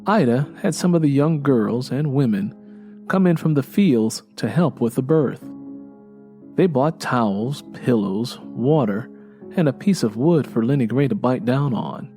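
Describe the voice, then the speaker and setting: Male storyteller, medium pitch, faint music behind. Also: expressive, measured pace, mellow. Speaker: audiobook narrator. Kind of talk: scripted story narration.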